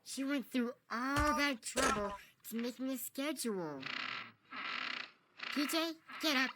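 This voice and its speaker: A woman voicing a stubborn, indignant young girl in an animated cartoon fan dub, with dramatic delivery. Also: high voice, measured pace, very noisy. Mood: annoyed. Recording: loud music behind the voice.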